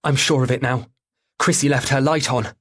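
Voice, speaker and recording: Guy doing a tense mom in a thriller audiobook, higher voice, urgent, pushy, determined. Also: fairly even intonation, normal speed, a bit bright, close to an everyday voice. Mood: urgent, brisk.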